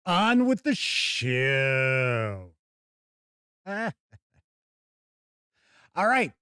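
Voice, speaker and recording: A man's voice: mid-range voice, big pitch swings, very slow, bright, forceful tone, smooth, no room sound, studio quality. An American man hosting a livestream in casual, rambling chat, acting out remarks as he goes. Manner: rambling, acts out quoted remarks. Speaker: livestream host and game reviewer.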